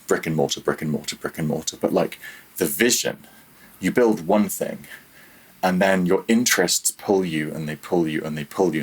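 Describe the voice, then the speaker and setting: A man with a very low-pitched voice that is a little husky. He talks as a podcast guest, reflective and informal, telling stories and acting out small quoted asides as he explains. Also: rough recording. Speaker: podcast guest, audio developer. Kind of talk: thoughtful conversational interview.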